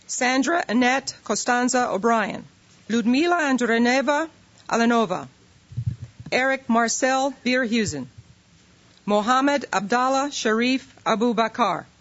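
A woman, an immigration official, reading out a long list of names at a ceremony in a steady, measured way. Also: on the high side. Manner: steady, measured roll call. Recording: dull lo-fi recording.

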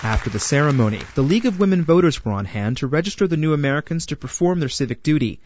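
A man's voice: a little high, lively intonation, fast, a little mellow, muffled recording, some chatter behind, a little hiss or hum. A man reading a scripted news feature as its narrator. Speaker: narrator, reporter.

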